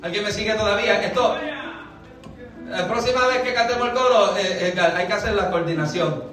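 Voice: mid-range voice, brisk, fairly bright tone, slight background noise. A preacher from Puerto Rico with a Puerto Rican Spanish accent delivers a sermon, often posing rhetorical questions and checking that listeners follow. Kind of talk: sermon to a congregation. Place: large echoey room, church service. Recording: echoey hall, distant mic, music behind.

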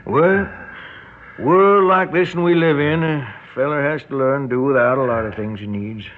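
A man's voice: medium pitch, moderate pace, slight background noise, lightly acted. An actor playing a menacing, folksy rural American father turned kidnapper in a radio drama, drawling with mock friendliness. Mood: taunting. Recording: dull lo-fi radio recording.

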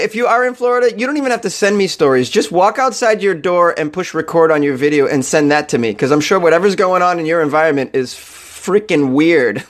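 A young man hosting a comedy podcast, talking loosely and joking, with frequent laughs. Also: fairly high pitch. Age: young adult. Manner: joking, laughs often, playful. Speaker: podcast host. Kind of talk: casual comedic monologue.

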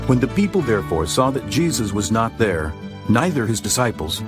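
A man narrating scripture in a steady, formal reading voice. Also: fairly low pitch, normal speed. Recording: music underneath.

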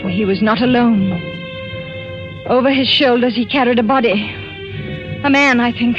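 An actress playing an anxious, fearful French wife in a 1940s radio thriller, halting and dramatic. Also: female voice, on the high side, close to an everyday voice. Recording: muffled vintage radio recording with music.

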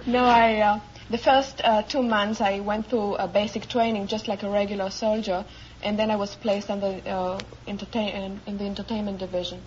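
A woman's voice: mid-range voice, level tone, normal speed, darkish tone. A young woman from Israel, answering casually as a contestant on a vintage radio quiz show. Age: young adult. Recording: muffled, noisy vintage 1950s radio recording.

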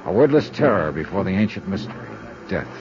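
An actor playing a hard-boiled, sardonic American investigator in a 1950s radio noir, with clipped, dry, dramatic phrasing. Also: male voice, low voice, sing-song, brisk, noisy background. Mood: brooding. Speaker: lead detective and narrator. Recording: dull 1950s radio recording with faint music.

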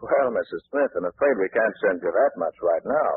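Husky old-time radio announcer, man. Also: fairly low pitch, lively intonation, brisk, narrow, tinny audio.

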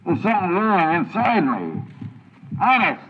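Desperate sick man, slow and pleading. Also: high voice, huge pitch swings, heavily acted. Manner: pleading, distressed. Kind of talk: old-time radio drama acting. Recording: tinny, noisy old radio recording.

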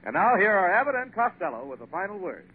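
A man's voice: high voice, very animated, quick pace, loud music behind the voice. A man working as a radio announcer, reading scripted copy in 1940s broadcast style. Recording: tinny old radio broadcast, faint hiss.

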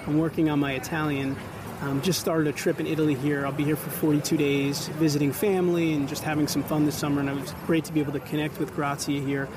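A man's voice: fairly high pitch, level tone, fast, few pauses, recorded from a distance. A man hosting a podcast, interviewing a guest in a casual way with short encouraging replies. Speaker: podcast host. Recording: outdoor recording with street noise.

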